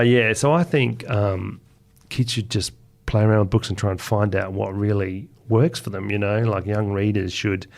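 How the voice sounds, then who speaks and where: A man's voice: fairly low pitch, creaky, darkish tone. A man with an Australian accent chatting informally and enthusiastically as a guest author in an interview. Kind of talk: casual conversational interview.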